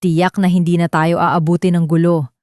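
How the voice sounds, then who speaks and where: A woman's voice: lowish voice, flat delivery, moderate pace, a little mellow, no echo, clean studio sound, close to an everyday voice. A woman voicing a cruel, deceitful female conspirator with a smug, cold manner in an audio drama. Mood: smug relief.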